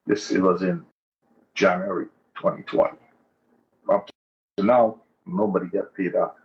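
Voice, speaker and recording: A man's voice: low voice, little pitch movement, unhurried. A man from Trinidad giving a casual, slangy, chatty account as a guest caller. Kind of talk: casual account of personal experience. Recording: dull, lo-fi call audio.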